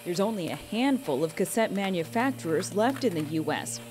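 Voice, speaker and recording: An American woman delivers scripted news narration as a correspondent. Her voice sits at a medium pitch, with some music playing behind her. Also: lively intonation, brisk. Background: background music.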